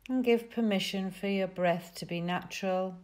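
A woman teaching yoga nidra, giving soothing, unhurried guided relaxation instructions with pauses between phrases. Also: mid-range voice, a little mellow.

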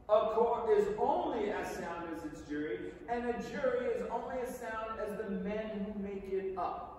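A man's voice: high-pitched, darkish tone. A middle-aged man acting an earnest, principled 1930s Southern defense lawyer, oratorically addressing a jury in a staged reading. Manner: oratorical, emphatic, deliberate. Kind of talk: theatrical staged reading, courtroom closing speech. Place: large theater stage, indoors. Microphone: far camera in audience. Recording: echoey theater hall, distant mic, faint noise.

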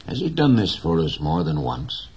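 A man playing a gentle, proud old fisherman. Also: deep voice, huge pitch swings, slightly rough, full character voice. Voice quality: slow, plain, dignified speech.

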